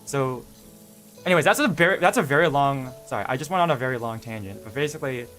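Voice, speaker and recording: A man's voice: mid-range voice, expressive, fast, fairly bright tone, faint music behind. A young man streaming, chatting casually in a rambling, self-correcting way with lots of fillers. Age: young adult. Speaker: VTuber streamer.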